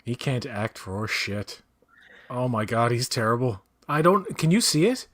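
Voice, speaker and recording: A man's voice: medium pitch, big pitch swings, unhurried, fairly bright tone. A man chatting casually during a movie watch-along, blunt and opinionated, swearing freely. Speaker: guest co-watcher.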